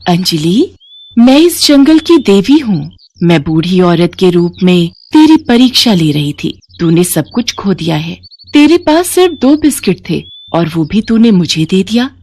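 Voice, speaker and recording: A woman's voice: medium pitch, very animated, a little slow, a little mellow. A woman performs a forest goddess from a fairy tale. She declaims in a solemn, kindly way, granting boons with authority. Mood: solemn, pleased.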